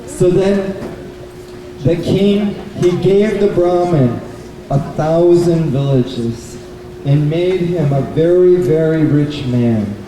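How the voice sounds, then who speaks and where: Very echoey, slow-paced male storyteller. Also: on the high side. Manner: simple, deliberate phrasing. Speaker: puppet show storyteller and presenter. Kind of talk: live children's storytelling to an audience. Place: indoor bookstore event. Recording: very echoey, noisy hall, distant microphone.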